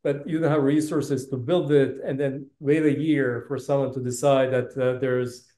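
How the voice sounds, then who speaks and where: A man's voice: mid-range voice, level tone, normal speed, dark tone. A man in his fifties with a Balkan accent, telling his story casually as an interview guest, often restarting his phrases. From Bosnia. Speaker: interview guest, tech business executive. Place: indoor room, video call. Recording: roomy, reverberant video call audio.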